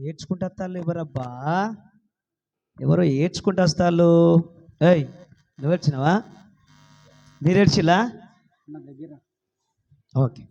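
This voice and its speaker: A man's voice: on the high side, measured pace, gravelly, long pauses, dark tone, lo-fi sound. A man with a Telangana accent hosting a children's puppet show, bantering playfully, coaxing and repeating things back as questions.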